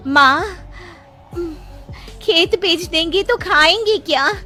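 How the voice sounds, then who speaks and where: A woman's voice: very high-pitched, slow, pushed and bright, soft background music, full character voice. A woman playing a dutiful, determined young village daughter-in-law in an audio moral story. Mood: worried. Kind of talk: Hindi moral story voice acting.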